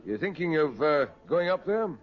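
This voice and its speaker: An actor playing a terse, disapproving village pub landlord in a 1950s radio drama, with folksy clipped phrasing. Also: male voice, on the high side, very animated, moderate pace. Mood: gossipy, disapproving. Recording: muffled old radio recording.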